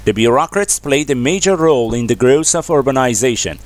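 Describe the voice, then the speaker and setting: A man reading academic practice passages aloud in a measured, formal, scripted way. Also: medium pitch, expressive, no echo. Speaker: narrator of exam practice readings.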